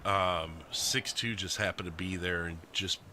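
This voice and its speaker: A man hosting a casual podcast chat, talking in an animated, excitable way. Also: low voice, unhurried, a little hiss or hum. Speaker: podcast host and roleplay streamer.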